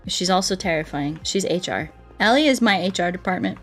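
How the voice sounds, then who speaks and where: A woman's voice: fairly low pitch, expressive, brisk, a bit bright. A woman livestreaming a game, chatting casually and playfully with her viewers in a rambling way. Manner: playful, rambling, talks to chat. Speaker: game streamer. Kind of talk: casual livestream chat while playing a game. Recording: music behind the voice. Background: music.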